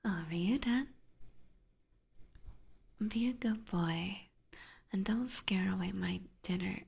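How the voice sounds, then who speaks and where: A woman whispers very slowly into a close binaural mic as an ASMR streamer. Her voice is soft-edged and breathy, at an average pitch for a woman. She sounds coy and playfully teasing. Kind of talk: ASMR roleplay livestream, whispered. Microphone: close binaural ear microphone.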